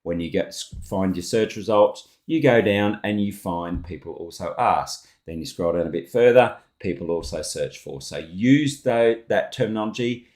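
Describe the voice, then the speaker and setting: Deep-voiced Australian man in an echoey room. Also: moderate pace, hardly any pauses, darkish tone. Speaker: online tutorial host. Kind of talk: casual unscripted screen-share tutorial. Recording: roomy, echoing voice recording.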